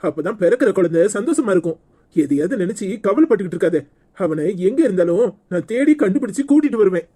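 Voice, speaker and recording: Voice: mid-range voice, big pitch swings, quick pace, dark tone, breathy edge. An actor playing a male village brother-in-law in a melodrama, protective and earnest but short-tempered. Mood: consoling. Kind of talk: melodramatic village serial acting.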